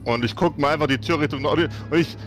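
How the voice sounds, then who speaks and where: A man's voice: fairly high pitch, fast. A man playing a tabletop game, talking casually through his character's moves. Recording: music playing behind.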